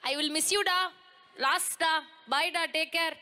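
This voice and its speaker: A woman with a very high, bright, ringing voice. She speaks fondly and coaxingly, like a judge saying farewell to a contestant. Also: steady tone, drawn-out words.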